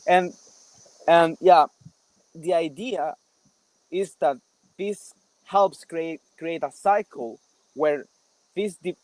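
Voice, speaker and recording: Higher-voiced man, halting delivery. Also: a little mellow, dry recording, rough recording. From El Salvador. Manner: halting, repeats words as he searches for phrasing. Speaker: guest, developer and product builder. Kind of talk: casual interview chat.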